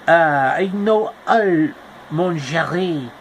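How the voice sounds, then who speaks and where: A man voicing a showy male magician who drops French phrases into slow, theatrical talk. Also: sits high, animated, a little hiss or hum. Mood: grandiose.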